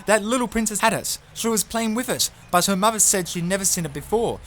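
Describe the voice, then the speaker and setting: A woman playing an excitable, impatient companion in a fantasy audio drama, eager and conversational. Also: mid-range voice, fairly quick, a little hiss or hum, lightly acted.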